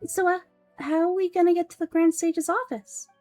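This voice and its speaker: A woman voicing a small, excitable, whiny fairy sidekick from a fantasy game. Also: very high-pitched, normal speed, dry recording, soft background music, pitched above the performer's own voice. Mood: nervous, chirpy.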